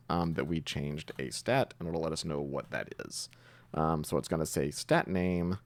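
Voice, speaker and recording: Very deep, gravelly-voiced man teaching coding. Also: darkish tone, no echo. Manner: explains step by step while typing, thinking aloud. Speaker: programming tutorial host. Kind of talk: informal screen-recorded coding tutorial.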